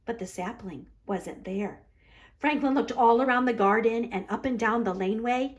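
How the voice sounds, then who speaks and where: A woman's voice: fairly high pitch, normal speed. A woman library storyteller narrating a children's storybook aloud.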